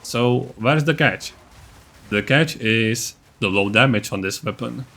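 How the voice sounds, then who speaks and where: A man's voice: mid-range voice, takes it slowly, vocal fry, bright, forceful tone. A man narrating a video game guide as its creator, in an informal, matter-of-fact, explanatory way. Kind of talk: casual explanatory build-guide voice-over.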